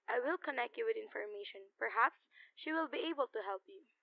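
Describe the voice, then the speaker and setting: A woman's voice: fairly high pitch, animated, brisk, creaky, no room sound. A young woman playing an impassive, formal telephone operator in a radio play, polite and formulaic, through a phone filter. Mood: polite, detached. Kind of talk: 1940s radio play acting. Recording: tinny telephone-line effect.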